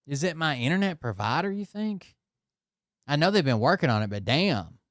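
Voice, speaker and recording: A man's voice: fairly high pitch, very animated, normal speed, no echo, studio quality. A man giving casual, blunt and profane commentary during a live stream.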